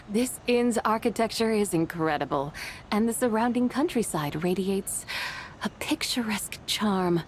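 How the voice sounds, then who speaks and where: A woman's voice: mid-range voice, expressive, measured pace, a little hiss or hum, lightly acted. A woman playing a grand, eccentric female immortal in a fantasy game, speaking in a lofty, poetic, playfully vain manner. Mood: pleased, lofty.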